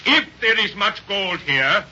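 An actor voicing an anxious, courteous, formal frontier mill owner in 1930s radio style. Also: male voice, high voice, expressive, a little slow, sharp tone, exaggerated voice. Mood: pleading, courteous. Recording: muffled, hissy 1930s radio recording.

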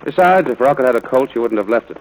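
An actor playing a stern, gruff but fair ranch father in a 1940s radio drama, firm and clipped. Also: medium pitch, expressive, fast talker, darkish tone. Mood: firm, exasperated. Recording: noisy vintage radio broadcast.

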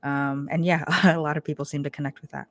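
A young woman from Portland talks through her answers in a loose, chatty way, full of fillers and little laughs. Her voice sits low, and the sound is dry and close, with no room around it. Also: steady tone, quick pace, dry recording. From Portland, Oregon, US. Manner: chatty, many ums and likes, laughs easily. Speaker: guest, social media creator. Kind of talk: casual interview answers.

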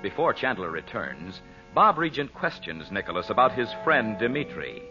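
A man's voice: on the low side, sing-song, normal speed. An American man announcing and narrating in a theatrical, emphatic old-time radio style. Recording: dull old radio recording with music.

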